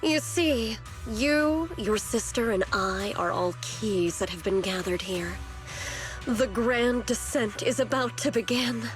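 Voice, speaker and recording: A woman's voice: a little high, expressive, slow pace. A woman playing a zealous, scheming cult servant in a video game, with a theatrical, taunting and solemn formal delivery. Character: Sarah, zealous scheming female cult servant of a dark creator. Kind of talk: dramatic video game voice acting. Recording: loud background music. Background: game music.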